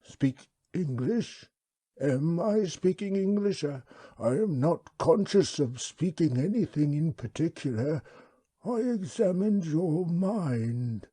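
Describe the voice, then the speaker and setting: Male reader as a ponderous, polite ancient creature, slow and lilting. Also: a little high, lively intonation, mellow, husky, voice pushed up, exaggerated voice. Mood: calm, polite. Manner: slow, courteous, drawn-out speech.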